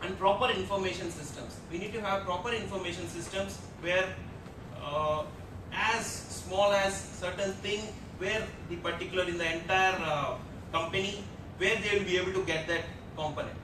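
A man with an Indian English accent speaking on an HR panel from a lectern, earnest and repetitive with many fillers. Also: normal speed. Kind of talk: panel discussion talk. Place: large auditorium stage at a lectern. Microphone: lectern mic heard from a far camera. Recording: echoey hall from far off, rumble.

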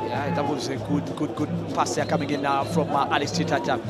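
A man with a Ugandan English accent breaks down each move as a match analyst. His voice sits high and he pauses on fillers while thinking aloud. Crowd noise and music run under him. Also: flat delivery. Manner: measured analysis, frequent fillers. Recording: broadcast mix with crowd and music.

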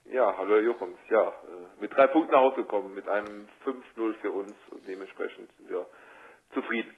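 A man giving a spontaneous sports interview over the telephone as a football coach, measured and matter-of-fact with hesitations. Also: lowish voice. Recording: tinny, band-limited telephone line.

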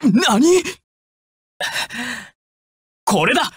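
An actor playing an intense, volatile young male gangster with a husky voice in dramatic video game dialogue. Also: extremely high pitch, sing-song, extremely slow, dry recording, heavily acted. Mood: battle cries. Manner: dramatic, swinging from controlled analysis to urgent shouting. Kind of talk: dramatic Japanese game dialogue and battle clips.

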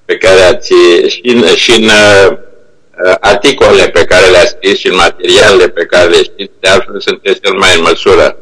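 An older man with a very deep voice talks informally over a video call. He looks back on the past in a halting way, often pausing to search for the right word. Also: fairly even intonation, a bit bright, rough recording. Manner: hesitant, halting, searching for words. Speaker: internet company co-founder, remote guest speaker. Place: remote video call played to a meeting room. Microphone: video call shown on projection screen.

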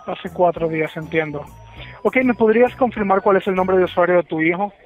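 A man's voice: high-pitched, a little fast. A man working as a customer service agent on a phone call, courteous and procedural. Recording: phone call, music behind.

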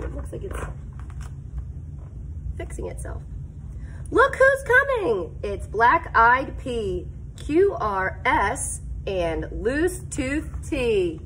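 Female elementary teacher, higher-pitched and unhurried, expressive read-aloud for little kids, prompting them to join in. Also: slight background noise, rough recording. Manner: expressive, deliberate, sing-song, prompts listeners to join in. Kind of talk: animated picture-book read-aloud for young children. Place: school classroom, indoors.

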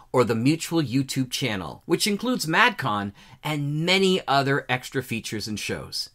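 A man's voice: medium pitch, pushed and bright. A man hosting a podcast, reading a scripted announcement. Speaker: podcast host.

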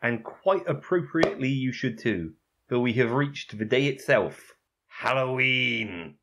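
A woman's voice: extremely low pitch, animated, moderate pace, background noise. A woman co-hosting a podcast in casual banter. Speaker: podcast co-host.

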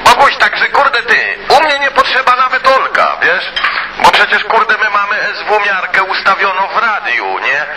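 A man's voice: high-pitched, very animated, normal speed. A man chatting casually over CB radio in a colloquial, rambling way, with mild swearing and filler phrases. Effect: CB radio transmission. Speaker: CB radio hobbyist. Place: two-way CB radio conversation. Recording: noisy CB radio transmission.

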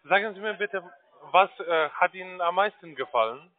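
Man, higher-pitched voice, foreign accent, call-centre salesman, formal and halting, narrow tinny phone line. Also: animated. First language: not German. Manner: halting, formal German with grammar slips.

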